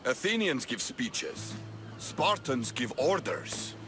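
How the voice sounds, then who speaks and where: An actor playing a proud, earnest Spartan military leader in a video game, speaking tersely. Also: male voice, mid-range voice, sing-song, slow pace, music in the background. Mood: stern. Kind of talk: video game cutscene acting.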